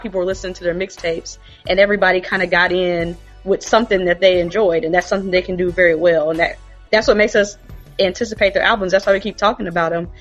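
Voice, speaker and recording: Woman chatting about rap, kinda low voice, muffled lo-fi sound. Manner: loose, filler-heavy explaining. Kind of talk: casual chat. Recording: dull lo-fi sound.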